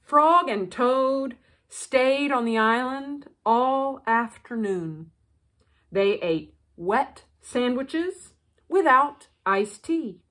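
Female storyteller: high voice, very unhurried, expressive children's bedtime reading. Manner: deliberate, expressive, with dramatic pauses. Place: living room with brick fireplace, indoors.

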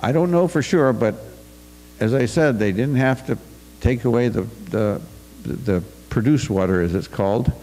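A man's voice: mid-range voice, moderate pace, darkish tone, slight background noise. An older American man reads a prepared talk into a podium microphone at a farm forum in a school auditorium. He stumbles and restarts now and then. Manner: reads from paper, with restarts and asides. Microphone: podium mic on a stand. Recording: reverberant auditorium.

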